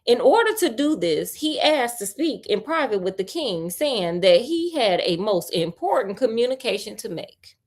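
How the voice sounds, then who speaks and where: An animated woman storyteller reads aloud to her livestream. Her pitch moves freely as she slips between narration and playful, slangy commentary. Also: normal speed, few pauses. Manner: expressive, playful, colloquial commentary. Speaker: storyteller host.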